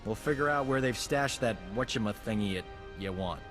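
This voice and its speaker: A man's voice: mid-range voice, a little fast, over music, natural voice. Man acting a wry rebel field doctor in reverb. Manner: wry, flippant. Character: wisecracking, dedicated male rebel field doctor. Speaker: Resistance field doctor character. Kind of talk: video game cutscene acting.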